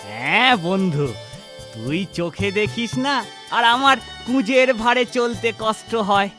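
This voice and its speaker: An actor playing an outcast young hunchback in a children's cartoon, sing-song and grumbling but friendly. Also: male voice, sits high, lively intonation, measured pace, over music.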